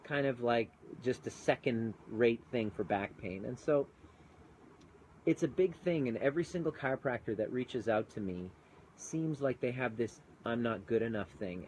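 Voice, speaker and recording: A man's voice: medium pitch, expressive, moderate pace, a little mellow, slight background noise, low-quality recording. A man, a chiropractor turned coach, talking earnestly and chattily on a live phone video outdoors with mountains in view. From Canada. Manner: earnest, chatty, greets viewers mid-thought. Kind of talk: live-streamed casual talk.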